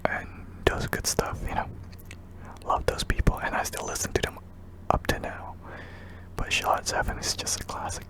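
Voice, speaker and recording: A man's voice: pushed and bright, rough recording. A young man from Indonesia, whispering hesitantly with many ums while answering viewer questions in an ASMR video. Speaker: ASMR channel host.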